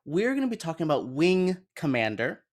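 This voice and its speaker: A man's voice: a little high, very animated, brisk. A man speaking as a guest in a casual chat, with a chatty, self-deprecating fan's way of talking. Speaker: guest on a film discussion show.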